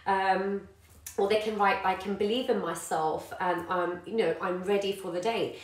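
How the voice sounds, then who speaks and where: A woman's voice: mid-range voice, steady tone, moderate pace. A woman, a yoga teacher and parent chatting casually to live viewers with many ums. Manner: warm encouraging, many ums, greets viewers by name. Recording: echoey room.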